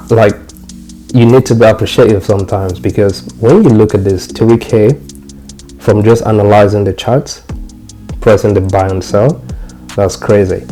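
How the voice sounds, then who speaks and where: A man's voice: fairly low pitch, mellow. A young man from Cameroon hosting a trading channel, talking viewers casually through his screen and repeating his phrases as he goes. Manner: repetitive, restarts phrases, talks to viewers as "guys".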